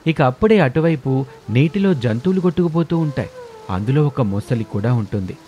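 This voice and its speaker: A man's voice: mid-range voice, lively intonation, fairly quick, warm tone, highs cut off. A man narrating a scripted story.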